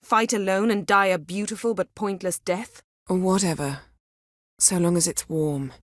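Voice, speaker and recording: An actress playing a proud, sharp-tongued sorceress in a fantasy video game, speaking in a haughty, sardonic, clipped and articulate way. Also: female voice, fairly low pitch, steady tone, unhurried, no room sound, studio quality. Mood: wry.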